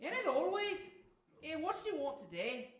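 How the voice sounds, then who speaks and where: A cheeky talking seagull for a radio play, scoffing and teasing in folksy dialect. The pitch is high and swoops about freely, heard from a distance in a roomy hall. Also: female voice, big pitch swings, moderate pace. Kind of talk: live radio play acting. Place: stage before a live audience in a hall. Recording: roomy hall, far from microphone.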